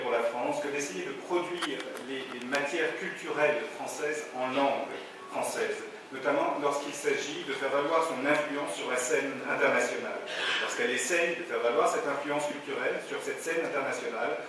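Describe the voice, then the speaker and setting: Guy interpreting at a debate, smooth flowing sentences, echoey hall, far from the mic, room noise. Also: medium pitch. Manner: fluent, fast-flowing long sentences. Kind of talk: consecutive interpretation. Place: conference hall.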